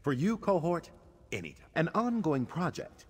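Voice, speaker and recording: A man's voice: fairly high pitch, sing-song, moderate pace, slightly rough. An actor playing an eccentric, pompous older male scholar mage, a gleeful game companion who talks theatrically in voice lines. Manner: theatrical, chuckling, pompous exclamations.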